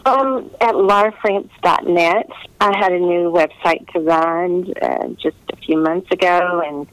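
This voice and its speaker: Lady author in a casual interview, lowish voice, really dry sound, gracious and enthusiastic. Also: unhurried, clean tone, dry recording, low-quality recording.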